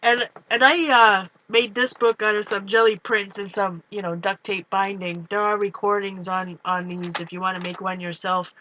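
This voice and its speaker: A woman with a light Canadian accent, shaded by time spent in Minnesota, chats loosely to her viewers. Her voice is bright and forward, and she wanders from one thought to the next while showing her journals from home. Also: mid-range voice, level tone, moderate pace, few pauses, a little hiss or hum, rough recording. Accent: Canadian with a Minnesota tinge. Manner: rambling, chatty, talks to viewers. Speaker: art journal vlogger. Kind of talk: casual chat while flipping through art journals. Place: home, on a couch.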